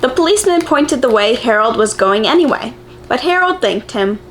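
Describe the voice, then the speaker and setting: A woman with a fairly high voice reads a children's story aloud. Her delivery is very animated and expressive, with simple, encouraging phrasing aimed at preschoolers. There is faint noise behind her voice. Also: normal speed. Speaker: preschool teacher reading picture books aloud. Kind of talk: children's story read-aloud with questions to young viewers.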